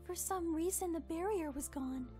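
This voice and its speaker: Lady doing shy polite young pony princess, cartoon drama, super high voice, music behind it. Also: normal speed, mellow. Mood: hesitant, confiding. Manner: hesitant, polite. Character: timid, earnest young pony princess, gentle and curious. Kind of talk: animated fan drama acting. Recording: music under the voice.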